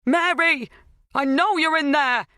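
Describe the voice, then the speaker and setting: A woman voicing a frantic, anxious young husband in an audiobook, sharp and pleading. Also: low-pitched, level tone, quick pace, dry recording, studio quality. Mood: frantic shouting.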